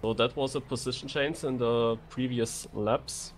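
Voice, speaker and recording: Mid-pitched male esports co-commentator, halting delivery. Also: steady tone, faint music behind. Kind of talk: live video game race commentary.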